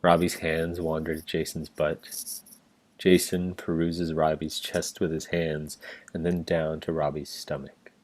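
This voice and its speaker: Low-voiced man reading stage directions. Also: little pitch movement, unhurried, a little mellow. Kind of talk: scripted narration, staged reading.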